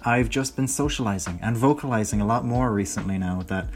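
A young man hosting his own podcast, talking in a rambling, chatty way that corrects itself, his voice hoarse from a lot of talking. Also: lowish voice, fairly quick, a little mellow, soft background music. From Ireland. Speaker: podcast host. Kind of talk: casual solo podcast chat.

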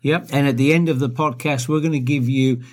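A man's voice: medium pitch, fairly even intonation, a little fast, a little mellow. Dry-humoured man. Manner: dry joking, stammers on restarts. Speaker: podcast co-host. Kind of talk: casual podcast chat.

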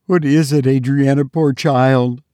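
A man's voice: medium pitch, steady tone, measured pace, warm tone, no room sound, clean studio sound, voice pushed up, lightly acted. A man putting on a sobbing, frightened mother. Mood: sobbing plea. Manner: sobbing, pleading. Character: frightened, tearful middle-aged mother.

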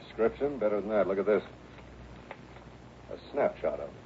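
An American man playing a brisk, gruff police lieutenant who gives clipped orders in a 1960s radio drama. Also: lowish voice, very animated. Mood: commanding. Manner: authoritative, emphatic, clipped orders. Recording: thin, narrow, noisy old radio broadcast.

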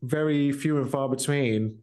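A British man speaking candidly as a musician guest on a podcast, in a halting, reflective way. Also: mid-range voice, darkish tone.

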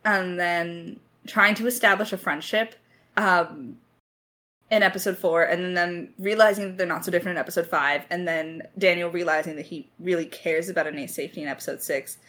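Slightly low-voiced woman, self-deprecating and hesitant. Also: steady tone, brisk, fairly bright tone. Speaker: lead writer of an audio drama podcast. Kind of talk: casual creator Q&A discussion.

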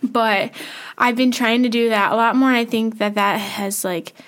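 A woman's voice: fairly high pitch, fairly even intonation, moderate pace, fairly bright tone. A young woman co-hosting a casual faith-based podcast, talking earnestly and thinking aloud with small restarts. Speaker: podcast co-host.

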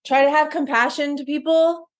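A woman co-hosting a podcast, talking in an animated, emphatic way and often laughing. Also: high voice, moderate pace. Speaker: podcast co-host. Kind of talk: casual podcast chat.

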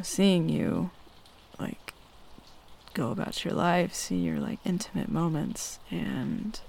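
Woman doing a shy flustered tomboy roommate in asmr roleplay, lowish creaky voice, halting, smitten. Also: darkish tone, exaggerated voice. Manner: halting, hesitant, flustered with nervous chuckles. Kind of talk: ASMR roleplay, intimate one-sided dialogue. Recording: faint rain noise behind close voice. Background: rain falling.

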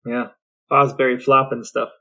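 Man, medium pitch, creaky gravelly voice, conversational storytelling, podcast host. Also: little pitch movement, moderate pace, bright, forceful tone.

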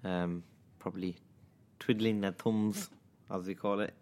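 A man's voice: sits low, steady tone, measured pace, darkish tone. A man with a Manchester accent, co-hosting a podcast in casual chat and then reading a true-crime story, with a hesitant manner full of ums and restarts. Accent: Mancunian. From England. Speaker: podcast co-host.

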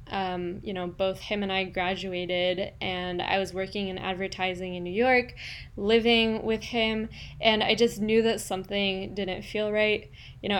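A woman from Quebec whose first language is French, hosting her podcast and telling her own story in a relaxed, personal way with fillers. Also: medium pitch, slight background noise. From Quebec, Canada. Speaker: podcast host. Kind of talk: casual solo podcast monologue.

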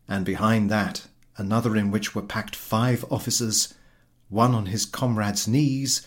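Animated male storyteller, very lively intonation. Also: on the low side. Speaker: audiobook reader. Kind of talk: expressive literary story narration.

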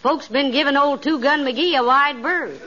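An actress playing a sensible, good-natured small-town wife with homespun frontier talk, in 1940s radio comedy style. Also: female voice, high-pitched, moderate pace, pushed and bright. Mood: folksy. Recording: muffled 1940s radio recording, studio audience.

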